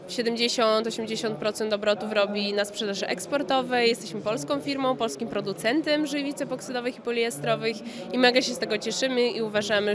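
A woman with a slightly high voice answers as a company representative in an interview. She explains things with enthusiasm into a handheld microphone, while the busy hubbub of an exhibition hall is clearly heard behind her. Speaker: company marketing manager being interviewed. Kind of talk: spontaneous trade fair interview. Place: indoor exhibition hall in front of backdrop. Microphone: handheld interview microphone just below frame. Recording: busy trade fair hall crowd noise. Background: crowd hubbub.

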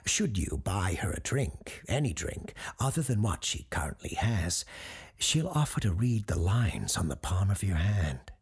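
An actor playing an eerie, learned radio host who tells supernatural tales in a measured, ominous way with deliberate pauses, his voice husky and gravelly. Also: deep voice, big pitch swings, full character voice. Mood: measured, mysterious. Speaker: paranormal advice show host. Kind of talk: scripted dramatic storytelling.

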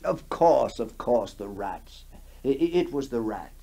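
A man's voice: medium pitch, lively intonation, unhurried, creaky, dark tone, breathy edge, exaggerated voice. Man playing a troubled, well-bred squire. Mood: uneasy. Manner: formal, troubled. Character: weary, uneasy English country squire, well-bred. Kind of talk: 1960s radio horror story acting. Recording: noisy 1960s radio broadcast.

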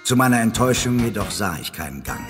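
A man playing a calm, confident space-sheriff leader in a German sci-fi audio drama, composed and authoritative. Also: on the low side, fast talker, roomy sound, long reverb tail, over music. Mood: reflective. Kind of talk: German sci-fi audio drama, dialogue and first-person narration.

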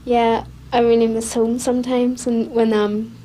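A woman's voice: fairly high pitch, little pitch movement, a little slow, mellow, slight background noise, poor audio. A young woman with a Northern Irish accent, talking candidly and reflectively in a documentary interview. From Northern Ireland. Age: young adult. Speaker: student interviewee. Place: small student bedroom.

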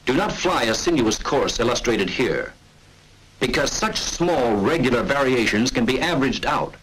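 A man narrates a 1940s military training film in a measured, authoritative way. His voice is husky, with a lively, rising and falling pitch. It sounds like an old film soundtrack. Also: poor audio. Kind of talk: scripted instructional narration.

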